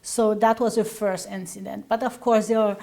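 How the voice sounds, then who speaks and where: A woman's voice: medium pitch, little pitch movement, moderate pace, gravelly, warm tone. A woman in her thirties from Haiti, telling personal stories conversationally as an interview guest.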